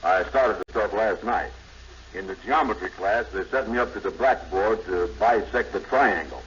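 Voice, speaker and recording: An actor playing a gullible, slow-witted middle-aged man, earnest and simple, in 1950s radio comedy style. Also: on the low side, a bit bright, close to an everyday voice. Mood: proud. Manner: earnest, simple, drawn-out yeahs, ungrammatical. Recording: muffled, faintly noisy 1950s radio recording.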